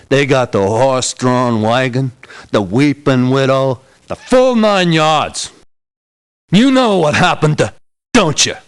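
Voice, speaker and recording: Stubborn streetwise ex-policeman for a game, male, mid-range voice, sardonic tough talk with plenty of inflection. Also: medium pitch. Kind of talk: video game character voice acting.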